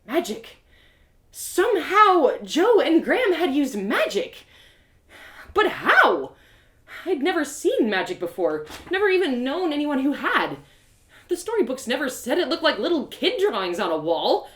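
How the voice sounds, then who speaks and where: A woman's voice: high voice, wildly swooping pitch, a little slow, fairly bright tone, voice pushed up, heavily acted. A woman playing a plucky, easily panicked young heroine in an audio drama, talking to herself in emotional exclamations. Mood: puzzled. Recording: roomy, echoey sound.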